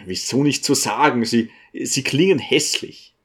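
A man voicing a stern, impatient, pious provincial priest in an audiobook, with a gruff, scolding manner. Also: medium pitch, a little slow, close to an everyday voice. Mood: impatient, disapproving.

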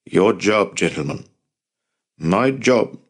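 A man's voice: sits low, normal speed. A man voicing a determined, impassioned male resistance fighter in an audiobook, earnest, blunt and persuasive.

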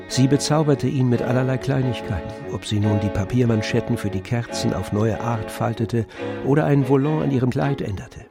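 Composed male radio drama narrator over music. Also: medium pitch. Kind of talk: literary radio play narration.

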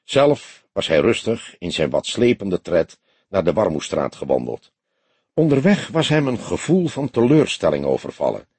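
Low-voiced, animated male audiobook narrator. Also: normal speed, slightly rough, no room sound. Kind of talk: scripted narration of a detective novel.

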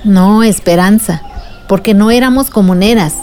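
A woman's voice: medium pitch, moderate pace, a little mellow, slight background noise, natural voice. A woman playing a patient, wise village aunt who explains things in a radio drama. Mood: patient, earnest.

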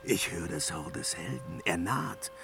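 An actor playing a proud, cunning ruling god in a fantasy radio play, measured and mocking. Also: male voice, deep voice, lively intonation, bright, forceful tone, slight background noise, exaggerated voice. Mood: knowing, mocking.

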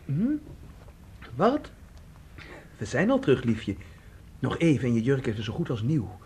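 Doting young brother, animated intonation, gentle, radio play, noisy recording. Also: male voice, medium pitch, a little slow. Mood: fond, reassuring. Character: affectionate young brother, student home for summer holidays. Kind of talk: radio drama acting.

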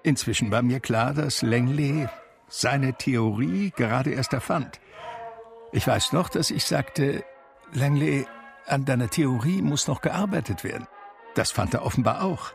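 An actor playing a blind man from a cultured New York family, reminiscing with measured, articulate, gently wry delivery in a German radio drama. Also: medium pitch, animated, gravelly, soft background music. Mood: reflective. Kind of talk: German radio drama first-person narration.

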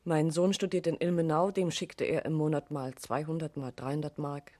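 An actress playing a grieving middle-aged woman in a radio crime drama, halting and bitter with self-reproach. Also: sits low, fairly even intonation, dark tone. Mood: bitter sorrow. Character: grieving middle-aged woman questioned about her dead lover.

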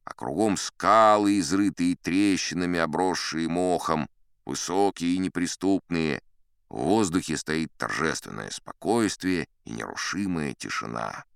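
A man's voice: deep voice, lively intonation, a little slow, gravelly, a bit bright, smooth, dry recording, studio quality, exaggerated voice. An actor playing a boastful old sea captain who spins yarns in a pompous, chatty, theatrical storytelling manner, savouring his words. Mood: vivid storytelling. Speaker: audiobook actor narrating in character. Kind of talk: theatrical storytelling in an audio play.

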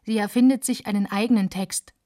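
An actress playing a granddaughter who recalls family life after the war in spare, deliberate radio narration. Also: female voice, mid-range voice, fairly even intonation, dark tone, no echo, clean studio sound, close to an everyday voice. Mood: wry, reflective. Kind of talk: literary first-person radio narration.

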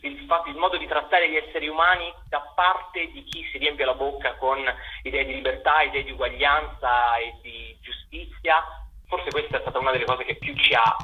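A young man, a theatre director, explaining his work earnestly and articulately in a radio interview, with halting repetitions. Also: medium pitch, fairly even intonation, phone-quality sound. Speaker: theatre director and company member being interviewed.

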